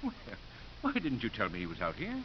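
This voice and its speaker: A genial Cardinal, affable and informal for his high office. His pitch moves freely, and the 1950s radio recording is dull with light hiss. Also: huge pitch swings, a little fast. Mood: jovial. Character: genial, informal male Cardinal, old friend.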